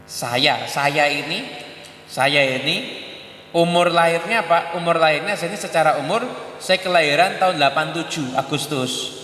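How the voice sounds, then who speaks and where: Bright, echoey joking preacher. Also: male voice, a little high, moderate pace. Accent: Javanese. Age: 30s. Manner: jokey, mixes languages, acts out audience questions. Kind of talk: Islamic sermon. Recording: echoey hall recording with hum.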